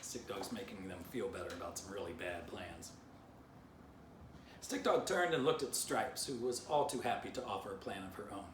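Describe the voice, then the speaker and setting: A man, a children's author, reading his storybook aloud in expressive narration. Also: fairly low pitch, moderate pace. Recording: distant mic, roomy, background noise.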